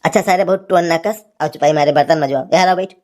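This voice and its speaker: Woman playing a low-voiced grumbling Awadhi village husband. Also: quick pace, a little mellow, lightly acted. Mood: grumbling, scolding. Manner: folksy, complaining, scolding. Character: grumbling, teasing village husband stuck doing housework. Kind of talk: cartoon comedy dialogue.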